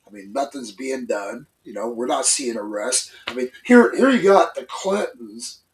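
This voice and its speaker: A man's voice: medium pitch, expressive, moderate pace, slight background noise. Stuffy-nosed man chatting off-mic. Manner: rambling, many fillers and restarts. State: congested, stuffy. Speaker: co-host. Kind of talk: casual opinion chat. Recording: voice a bit far from the mic.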